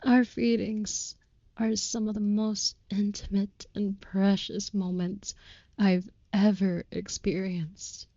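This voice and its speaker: A woman's voice: mid-range voice, mellow, no echo, dull audio. A woman voicing a devoted, overprotective female vampire in an intimate audio roleplay, with formal, old-fashioned, tender and earnest phrasing.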